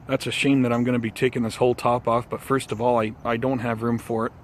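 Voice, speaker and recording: A man hosting a casual gardening vlog outdoors, talking informally to viewers in an unhurried, hesitant way. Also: mid-range voice, little pitch movement, slight background noise. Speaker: host of a bonsai gardening vlog.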